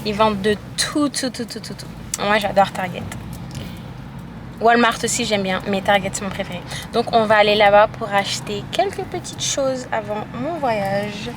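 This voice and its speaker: A woman's voice: medium pitch, normal speed, noisy background. A woman in her twenties from France, chatting casually to camera in a vlog. She rambles and fills her talk with hesitations and repeated words. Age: 20s. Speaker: vlogger.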